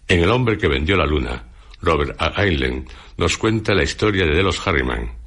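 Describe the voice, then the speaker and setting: A man with a Castilian Spanish accent reading a scripted radio documentary narration in a measured, formal, literary way. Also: very deep voice, fairly bright tone, breathy edge.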